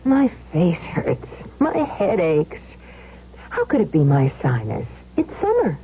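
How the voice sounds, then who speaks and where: An actress playing a complaining, curious customer in a 1970s radio commercial. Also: female voice, fairly low pitch, wildly swooping pitch, slow, slightly rough, exaggerated voice. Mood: miserable. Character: complaining, curious sinus sufferer in an advertisement. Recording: dull lo-fi 1970s radio recording.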